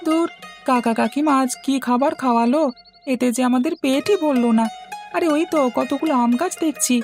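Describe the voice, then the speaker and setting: Woman doing greedy little village boy, cartoon fairy tale, really high voice, music behind. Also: lightly acted. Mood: grumbling, plotting. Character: greedy mischievous young village boy, two gluttonous brothers.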